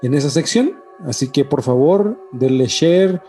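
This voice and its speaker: A man's voice: a little high, expressive, measured pace, warm tone, soft background music. A man with a Mexican accent, hosting and chatting casually on a podcast. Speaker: podcast host. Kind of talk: casual podcast chat with announced intro.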